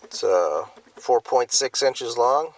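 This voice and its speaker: Halting man filming at a desert range. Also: medium pitch, lively intonation. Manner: hesitant, many "uh" fillers, plain and matter-of-fact. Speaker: firearms video host. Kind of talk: casual hobby demonstration. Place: outdoors in open desert.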